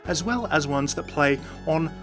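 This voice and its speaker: A man's voice: a little high, animated, unhurried. A man hosting a gaming channel, giving enthusiast commentary as he presents game voice clips. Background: background music.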